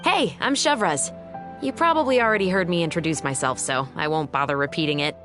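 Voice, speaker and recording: A woman's voice: low voice, fairly quick, music in the background, natural voice. A woman voicing a composed, principled young guard officer in a video game, matter-of-fact and assured. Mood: casual, surprised.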